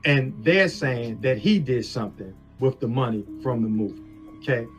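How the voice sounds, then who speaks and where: A man's voice: mid-range voice, animated, normal speed. A man arguing on a phone call, insistent and lecturing as he lays out his point. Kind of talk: argumentative phone conversation. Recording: leaked phone call with music underneath.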